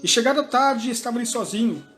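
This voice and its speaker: High-voiced pastor preaching over faint music. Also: male voice, fast talker, fairly bright tone. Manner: peppers talk with "né?" and "amém?", asks and answers. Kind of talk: sermon. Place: church.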